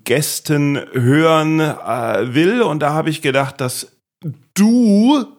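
A man's voice: on the high side, lively intonation, slow pace. Teasing, ironic man hosting a chat. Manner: teasing, ironic, hesitant with many fillers. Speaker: podcast host. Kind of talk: casual comedic interview chat. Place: small home studio.

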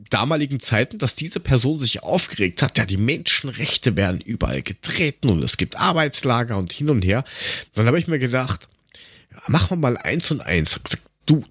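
A middle-aged man chatting casually on a humorous podcast in a halting, wry storytelling manner. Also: mid-range voice, fairly bright tone, breathy edge, no echo, studio quality. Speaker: podcast host.

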